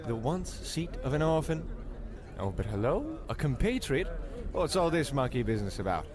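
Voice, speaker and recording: A man's voice: mid-range voice, big pitch swings, moderate pace, a crowd in the background, natural voice. A man playing a pompous, fussy, self-important singing detective in an old-time radio comedy, with noise behind him. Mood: fussy, haughty.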